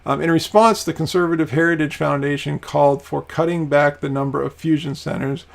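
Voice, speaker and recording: A man's voice: on the high side, fairly even intonation, brisk, creaky. A young adult man from Maine, a private detective, talking casually with many ums and restarts. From Maine, USA. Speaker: podcast host. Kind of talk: casual talk.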